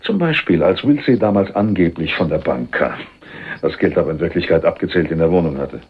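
An actor playing a wry, cool undercover police detective in a radio crime drama, ironic, teasing and matter-of-fact. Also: male voice, low-pitched, expressive, brisk, breathy edge, heavily acted. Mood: wry, composed. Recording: dull, muffled radio play recording.

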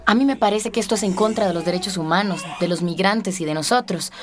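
An actress playing a caring, defiant young health worker in a radio drama, speaking earnestly and firmly. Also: female voice, mid-range voice, quick pace, lightly acted. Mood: defiant worry. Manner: earnest, firm.

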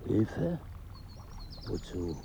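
An actor in a German radio drama playing a confused, fussy middle-class intellectual, with a pedantic, hesitant and formal manner. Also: male voice, lowish voice, sing-song, drawn-out words, creaky, dark tone, full character voice. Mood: prim, disapproving. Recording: dull sound with faint ambient noise.

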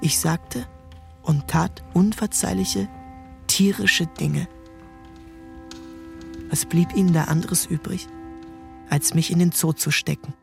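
Troubled narrator, radio drama, somewhat high, calm, faint music under. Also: male voice, slow pace, vocal fry, pauses often, exaggerated voice. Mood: reflective. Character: troubled young man looking back on his youth. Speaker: first-person narrator.

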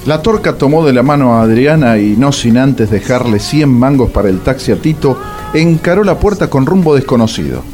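A man with a Rioplatense Argentine accent narrating a radio drama in a wry, theatrical way, with dramatic pauses. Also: mid-range voice, animated, a little mellow, faint music behind.

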